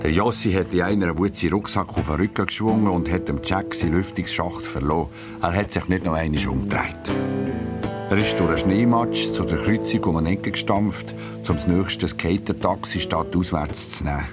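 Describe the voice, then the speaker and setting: Deep-voiced Swiss German storyteller man. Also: normal speed. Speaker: narrator. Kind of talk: expressive children's story narration. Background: guitar music.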